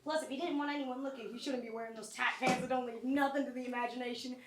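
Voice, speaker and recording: Woman playing a high-pitched, bawdy Southern flirt. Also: steady tone, fast, natural voice. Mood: bawdy, sassy. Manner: bawdy, sassy, complaining. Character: brash, lustful, shameless Southern country woman at a funeral. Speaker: actor in a staged reading of a short play. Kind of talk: live amateur stage comedy acting. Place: small college theatre stage. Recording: roomy distant theatre mic, background noise.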